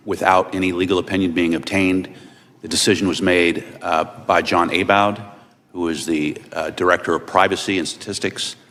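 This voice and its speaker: A man's voice: low-pitched, fairly bright tone. A man giving formal, explanatory testimony as an expert witness. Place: large indoor hearing room. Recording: reverberant hearing room.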